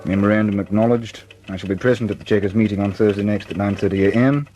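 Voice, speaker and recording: An actor playing a formal reader of official wartime memoranda in a radio drama, with clipped dictation. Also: male voice, lowish voice, steady tone, fast, mellow, soft background music, lightly acted. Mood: official, brisk. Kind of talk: scripted radio drama reading. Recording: noisy with typewriter-like clacking.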